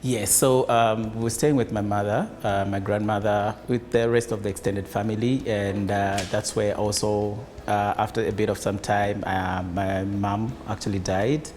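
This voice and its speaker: A man's voice: on the low side, flat delivery, normal speed, hardly any pauses, darkish tone, a little hiss or hum. A man from Zambia, a business founder, answering interview questions in a hesitant way with many fillers. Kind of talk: conversational interview.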